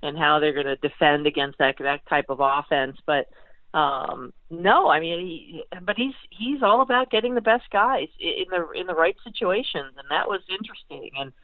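American woman, halting talk, dull radio-like sound. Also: low voice, no echo. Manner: halting, rambling, self-correcting. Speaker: sportswriter guest. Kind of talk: casual sports analysis.

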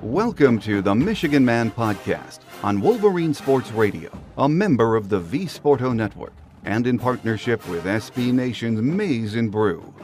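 A man hosting a sports podcast, giving measured scripted commentary that speaks directly to fans. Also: lowish voice, a little mellow. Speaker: podcast host.

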